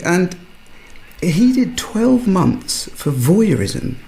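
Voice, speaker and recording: An actor playing a polite, officious British policeman in a radio drama, halting and apologetic. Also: high voice, gravelly, darkish tone, full character voice, low-quality recording. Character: polite, hesitant policeman delivering awkward news. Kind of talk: naturalistic radio drama acting.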